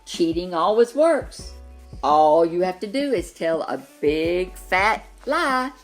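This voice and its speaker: Animated woman storyteller reading for children. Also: fairly low pitch, slow pace, soft background music. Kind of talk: expressive scripted children's story narration.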